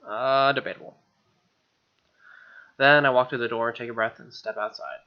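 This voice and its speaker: A man's voice: medium pitch, steady tone, moderate pace, dull audio. A man hosting a let's play, reading game text expressively with wry, casual asides.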